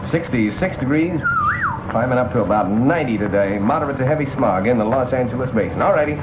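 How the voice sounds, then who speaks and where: Wry American disc jockey, lively delivery. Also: male voice, medium pitch, fast, heavy background noise. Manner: wry, playful asides. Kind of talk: ad-libbed morning radio patter. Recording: vintage 1960 radio broadcast. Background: music.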